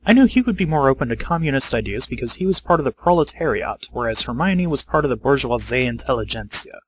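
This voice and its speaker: A man's voice: mid-range voice, animated, fast talker, slight background noise, low-quality recording. A man reading scripted first-person story narration as the narrator.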